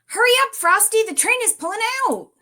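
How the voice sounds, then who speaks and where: A woman's voice: very high-pitched, sing-song, fairly quick, bright, forceful tone, heavily acted. A woman voicing a polite, helpful young girl in a cartoon script reading, speaking earnestly. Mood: urgent, reassuring. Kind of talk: cartoon table read.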